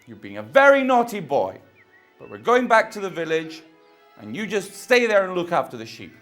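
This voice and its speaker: A woman's voice: lowish voice, very animated, moderate pace, faint music behind, pitched above the performer's own voice. A woman voicing cross village grown-ups in a children's story, stern and scolding like a teacher.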